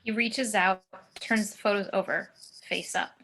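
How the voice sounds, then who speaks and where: A woman's voice: medium pitch, fairly even intonation, unhurried, sharp tone, breathy edge, poor audio. A woman reading scene descriptions and action lines as the narrator of a screenplay table read.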